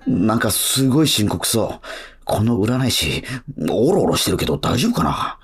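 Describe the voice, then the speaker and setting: A man's voice: fairly low pitch, big pitch swings, normal speed, breathy edge, exaggerated voice. An actor playing a cynical young male street musician, narrating a radio drama in blunt, slangy asides. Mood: brooding, defiant. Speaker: narrator and lead character. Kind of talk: first-person radio drama monologue. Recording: acoustic guitar music behind.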